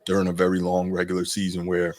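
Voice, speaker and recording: A man with a low, deep voice, co-hosting a sports podcast. He speaks in easygoing casual chat, gracious and often trailing off softly at the ends of thoughts. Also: completely flat delivery, quick pace. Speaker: podcast co-host.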